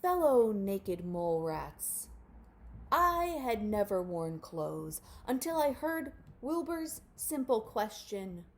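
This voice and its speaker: A woman's voice: mid-range voice, very animated, slow. Woman putting on a regal old mole rat grandfather. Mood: proclaiming. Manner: stately, declamatory.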